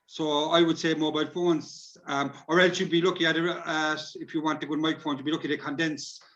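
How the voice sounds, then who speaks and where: Dull-sounding Irish man, slightly high voice. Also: little pitch movement, a little fast, fairly bright tone. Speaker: workshop speaker advising on recording. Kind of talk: casual advice in an online discussion. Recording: dull lo-fi call audio.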